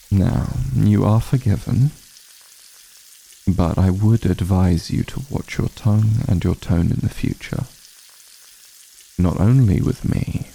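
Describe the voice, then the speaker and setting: A man's voice: low voice, creaky, dark tone, slightly rough, exaggerated voice. A man playing an ancient, aloof vampire patriarch in an ASMR fantasy roleplay, commanding yet honorable, speaking in formal, haughty, archaic phrasing. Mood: dismissive, restrained.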